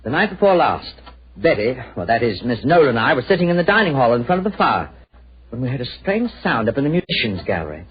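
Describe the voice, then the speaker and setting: A man's voice: medium pitch, very animated, fast, slightly rough. An actor playing a courteous, worried young British nobleman in a 1940s radio drama. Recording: dull old radio recording, faint noise.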